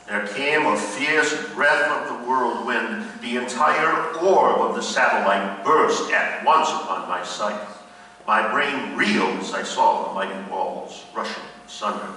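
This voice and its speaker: A man's voice: medium pitch, measured pace, bright, forceful tone, slight background noise. A man playing the haunted narrator of a gothic tale, reciting in a grave, theatrical way. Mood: awed horror. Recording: distant, very echoey hall.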